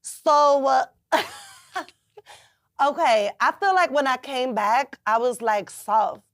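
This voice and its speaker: Raspy-voiced young woman rapper, frank. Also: high voice, slow, dry recording. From Chicago, US. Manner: laid-back, frank, swears freely. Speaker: rapper and singer guest. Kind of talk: casual interview.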